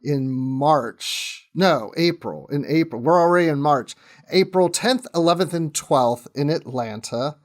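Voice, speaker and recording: A man's voice: on the high side, expressive, measured pace. A talkative man chatting casually as a podcast guest, often breaking off and restarting his own sentences. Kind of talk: casual chat.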